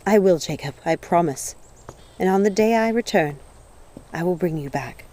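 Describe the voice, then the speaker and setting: A woman's voice: fairly low pitch, expressive, normal speed, warm tone, a little hiss or hum, lightly acted. A woman voicing a desperate, grieving young witch in a storybook, pleading with emotion. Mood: sad, tender.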